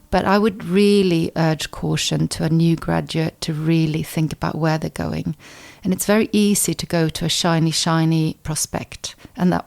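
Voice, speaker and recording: A woman in her fifties, raised in Sweden, speaking low with a gravelly edge. She talks things through as a podcast guest, circling back and restarting phrases as she thinks. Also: darkish tone. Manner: thoughtful, with frequent restarts and repeated words. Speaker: guest chiropractor. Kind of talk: conversational interview.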